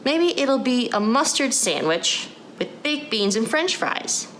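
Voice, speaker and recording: A woman's voice: a little high, very animated, slow, fairly bright tone, slight background noise, voice pushed up, full character voice. A woman voicing an outspoken, curious and impatient schoolgirl in a read-aloud storybook. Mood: excited.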